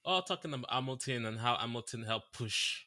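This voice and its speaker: A man co-hosting a talk show, casually telling history like an animated storyteller. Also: medium pitch, a little fast. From Nigeria. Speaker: co-host of a crypto show. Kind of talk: casual discussion with read-out quotes.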